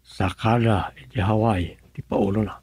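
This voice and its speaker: A man's voice: on the low side, steady tone, unhurried, a little mellow, husky, no echo. A man playing an inquisitive, cautious villager in a radio drama, plain-spoken and with an Ilocano accent. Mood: musing.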